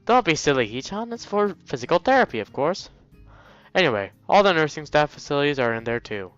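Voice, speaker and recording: A man's voice: on the high side, sing-song, fairly quick, fairly bright tone, no room sound, dull audio, soft background music. Man reading as a helpful, matter-of-fact schoolgirl.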